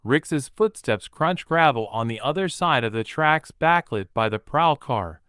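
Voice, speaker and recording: A man's voice: mid-range voice, animated, unhurried, a bit bright, clear, resonant tone, no echo. A man narrating a horror novel in a vivid, present-tense storytelling style, recorded close to a studio mic. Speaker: audiobook narrator.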